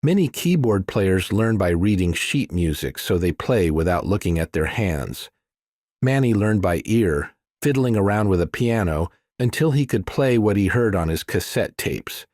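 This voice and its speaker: A man's voice: deep voice, animated, moderate pace, a little mellow, dry recording, studio quality. A man narrating a scripted thriller audiobook.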